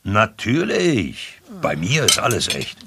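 An actor playing a jovial, boastful, wealthy yacht host in a German audio drama, with smug, flamboyant patter. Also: male voice, on the low side, huge pitch swings, takes it slowly, noisy background, heavily acted. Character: jovial, boastful rich male yacht host and diamond magnate.

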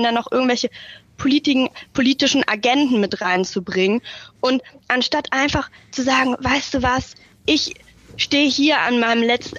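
Higher-pitched woman on a dull, lo-fi line. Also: fairly bright tone, no room sound. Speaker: interviewed podcast listener. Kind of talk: conversational opinion, spontaneous.

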